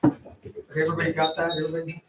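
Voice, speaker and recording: A man with a low baritone voice, teaching a kids karate class as guest instructor, calling out counts and correcting form. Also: completely flat delivery. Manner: slow, counts drills aloud and corrects form. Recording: echoey hall, far from mic, noisy.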